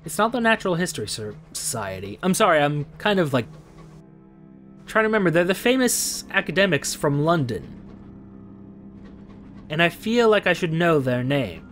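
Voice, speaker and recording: A man's voice: fairly high pitch, sing-song, normal speed, pauses often, a bit bright, soft background music. Man musing aloud over a game. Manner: musing, thinks aloud with frequent hums. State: losing his voice. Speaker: game streamer. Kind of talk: let's play commentary with reading of game text.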